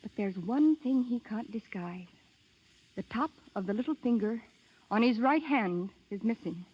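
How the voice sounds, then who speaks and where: Casting: frightened woman spy, husky and highish voice, urgent 1930s radio acting. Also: expressive, measured pace. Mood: fearful, urgent. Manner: urgent, dramatic. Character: frightened, secretive young woman spy on the run. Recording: dull lo-fi 1930s radio broadcast.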